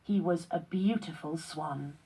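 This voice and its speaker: A woman giving scripted narration on a children's read-along, with a deliberate, instructional storyteller delivery. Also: low-pitched, mellow, breathy edge, poor audio.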